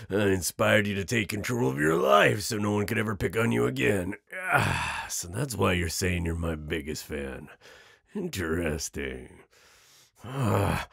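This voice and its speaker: A man acts out a predatory male werewolf for an intimate audio drama. He is very low and gravelly, amused and flirtatious with an edge of menace. The words come in a slow, teasing drawl, close and dry. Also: a bit bright, no room sound, exaggerated voice. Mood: menacing, flirtatious. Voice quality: gravelly, husky. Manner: drawling, teasing, with breathy chuckles and hesitant asides.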